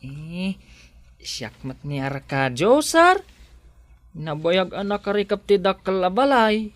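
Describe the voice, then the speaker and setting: A man's voice: high-pitched, big pitch swings, slow, dry recording, exaggerated voice. An actor playing a humble, hardworking rural man in an Ilocano radio drama, with an Ilocano accent. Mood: polite, eager.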